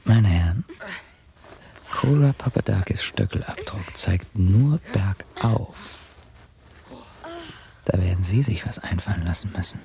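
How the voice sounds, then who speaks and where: An actor playing a scheming man muttering his nervous, murderous inner thoughts in a German radio play, drawn-out and intense. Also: sits low, lively intonation, creaky, mellow, a little hiss or hum, exaggerated voice. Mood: mocking.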